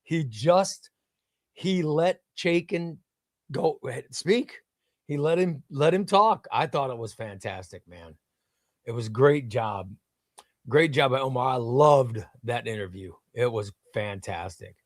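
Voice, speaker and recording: A man's voice: mid-range voice, takes it slowly, no room sound, clean studio sound. A man hosting a casual livestream, reading viewer comments aloud and gushing with enthusiasm, often repeating himself. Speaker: livestream host.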